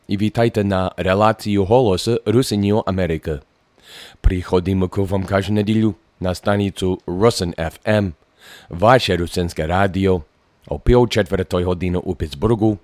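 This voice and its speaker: A man hosting a community radio heritage program, his voice hoarse and froggy from a recent cold, announcing formally with light self-deprecating asides. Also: darkish tone, no echo. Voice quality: froggy, low voice. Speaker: radio host.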